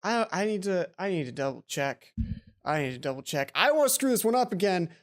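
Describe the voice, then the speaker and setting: A woman's voice: on the low side, very animated, fast. A woman hosting a let's play video in a casual, rambling, self-deprecating way, given to exclamations. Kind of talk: casual game commentary with read-aloud dialogue. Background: game music.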